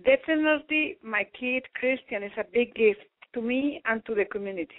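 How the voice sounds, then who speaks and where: A middle-aged woman from Mexico, a native Spanish speaker, speaking as a radio guest in careful, halting English with many restarts. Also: a little high, dry recording. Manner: halting, searching for words, restarts. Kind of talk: call-in radio interview. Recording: tinny phone line.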